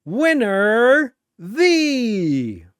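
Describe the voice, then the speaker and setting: A man's voice: very high voice, huge pitch swings, very slow, fairly bright tone, clear, resonant tone, no room sound, clean studio sound, pitched above the performer's own voice, heavily acted. A man voicing a flamboyant, exasperated arena master in a fantasy battle story, scolding with theatrical emphasis. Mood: triumphant announcing. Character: loud exasperated flamboyant four-armed male arena master.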